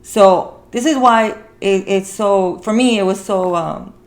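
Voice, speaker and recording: A woman speaks as a podcast host in an informal conversation. Her voice sits at a medium pitch. She comes across as earnest and encouraging, often repeating a phrase to press her point. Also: a little slow. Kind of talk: casual podcast conversation about a film.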